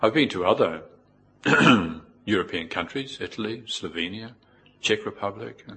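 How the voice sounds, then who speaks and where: Low-pitched New Zealand man, reflective. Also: expressive, pushed and bright. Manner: reflective, measured with pauses. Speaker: Buddhist monk, teacher. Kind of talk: informal spoken dharma talk with personal anecdotes.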